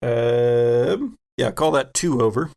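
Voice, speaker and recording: Male game master, unhurried and gravelly, descriptive horror sci-fi storytelling. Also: mid-range voice, over a noisy crowd.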